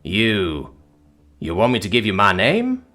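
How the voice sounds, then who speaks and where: A man's voice: on the low side, wildly swooping pitch, measured pace, bright, forceful tone, voice pushed down, exaggerated voice. A man voicing a young father in an audiobook, polite but slyly mocking, with hesitant, deferential phrasing. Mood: wary. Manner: hesitant, mock-deferential, oddly worded speech.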